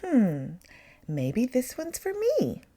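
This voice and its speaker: A woman's voice: sits high, wildly swooping pitch, takes it slowly, dark tone, voice pushed up, exaggerated voice. A woman voicing a tiny, wistful young male reindeer in a picture book, with a sighing, hesitant manner. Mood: hopeful, musing.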